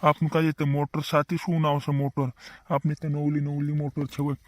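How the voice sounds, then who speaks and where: A practical cartoon village man voiced by a male actor with a fairly high voice and flat melody. He speaks insistently, repeating himself in a rural western Madhya Pradesh accent. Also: normal speed, close to an everyday voice. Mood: grumbling. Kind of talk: cartoon comedy dialogue in rural dialect.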